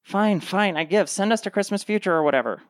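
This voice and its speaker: A woman's voice: mid-range voice, brisk, dry recording, studio quality, lightly acted. A woman playing a flippant, grumbling, contrary slacker in a comedic radio play.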